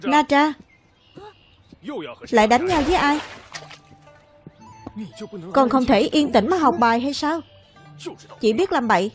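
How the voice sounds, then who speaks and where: A worried, protective mother in a cartoon dub, played by a woman. Her voice is high, fretful and admonishing, with music clearly playing behind it. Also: animated, takes it slowly, pauses often. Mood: scolding.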